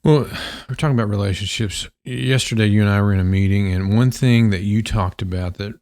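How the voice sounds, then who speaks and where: A man's voice: fairly low pitch, a little fast, darkish tone. A man hosting a casual university podcast, asking questions in a friendly, conversational way. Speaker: podcast host.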